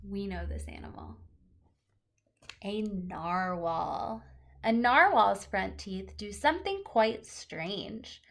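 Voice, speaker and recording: Slow, lively-pitched woman reading to children. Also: gravelly. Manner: expressive, explanatory, emphasizing key words for young listeners. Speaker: teacher reading aloud to children. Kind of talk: read-aloud of a children's nonfiction picture book with short asides.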